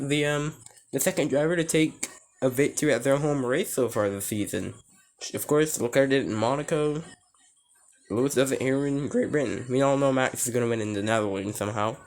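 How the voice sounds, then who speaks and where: A man whose voice is hoarse and nearly gone talks through a race in casual reaction commentary. He is excitable and rambling, restarting sentences and filling gaps with "like", at an ordinary middle pitch. Faint hiss sits under him. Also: a little fast. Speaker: racing fan reviewing a race.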